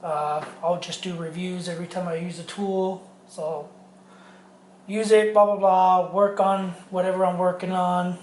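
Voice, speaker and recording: A man's voice: high-pitched, level tone, a little slow, darkish tone, a little hiss or hum. A middle-aged man reviewing a power tool in his home garage workshop, rambling loosely with many ums and restarts. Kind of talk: casual tool review vlog.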